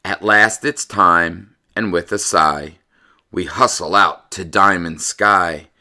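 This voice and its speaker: A middle-aged American man, a teacher speaking to young children with animated, exaggerated emphasis. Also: low-pitched, takes it slowly. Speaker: teacher reading stories aloud to young pupils. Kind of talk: casual chat to children, then storybook read-aloud. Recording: echoey room, a bit far from mic.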